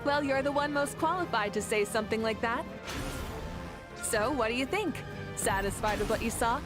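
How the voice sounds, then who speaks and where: A woman voicing a gentle, thoughtful young female companion in a video game. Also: on the high side, normal speed, close to an everyday voice. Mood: friendly. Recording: game audio with music behind.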